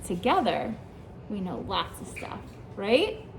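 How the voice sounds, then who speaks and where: A woman teacher reads a picture book aloud to young children, pausing to ask them questions and praise their answers. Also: medium pitch, very animated, creaky, slight background noise, rough recording. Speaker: preschool teacher.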